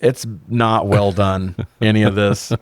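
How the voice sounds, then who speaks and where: Low-voiced, sardonic man recapping a film. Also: level tone, a little slow, dry recording. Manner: sardonic, profane. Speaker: podcast co-host. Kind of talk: casual film recap banter.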